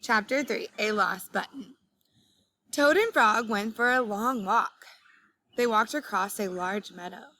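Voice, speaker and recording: A woman reading a storybook aloud like a teacher, speaking clearly to young listeners. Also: mid-range voice, a little slow, bright, forceful tone, slight background noise. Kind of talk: children's storybook read-aloud.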